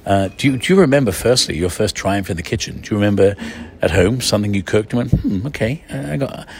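A man's voice: on the low side, lively intonation, fast, a little mellow, a little hiss or hum. A man hosting a radio food interview, effusive, chatty and theatrical. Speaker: radio food show host.

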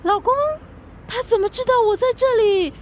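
A woman's voice: extremely high pitch, normal speed, slight background noise. A woman acting in a radio drama as an emotional, pouty pregnant young wife. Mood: tearful, self-blaming. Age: young adult. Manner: tearful, pouting delivery.

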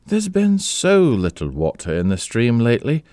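A man's voice: medium pitch, huge pitch swings, moderate pace, a little mellow, dry recording, clean studio sound, pitched above the performer's own voice. A man doing a fretful, pleading wife. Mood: anxious. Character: anxious, tender-hearted English wife.

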